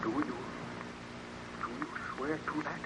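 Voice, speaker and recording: A noisy old radio recording carries a man acting as a guilt-ridden baron and monster-maker. His somewhat high voice is grave and troubled, with the dramatic delivery of a vintage serial. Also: measured pace, natural voice. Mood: grim.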